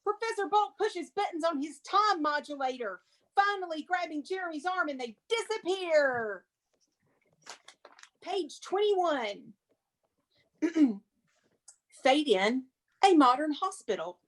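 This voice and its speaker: High-pitched woman narrating stage directions. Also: lively intonation, slow pace, long pauses. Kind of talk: dramatic script reading with casual improvised asides.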